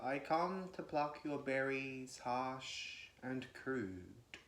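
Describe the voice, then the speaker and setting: A man gives a solemn, declamatory, measured recitation of classical poetry. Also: a little high. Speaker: poetry reader. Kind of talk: dramatic recitation of classical verse. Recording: echoey room.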